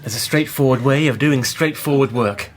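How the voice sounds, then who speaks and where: A blunt, protective Victorian father in middle age, played by a man, speaks in a gruff and plain-spoken way. His voice sits at an ordinary pitch, suited to a period radio drama. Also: moderate pace, close to an everyday voice. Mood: earnest, gruff.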